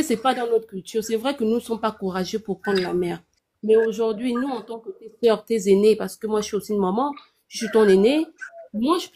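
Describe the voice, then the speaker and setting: A woman's voice: a little high, warm tone, a little hiss or hum. A woman from Gabon with a Gabonese French accent, giving earnest, insistent big-sisterly advice on a live call-in panel.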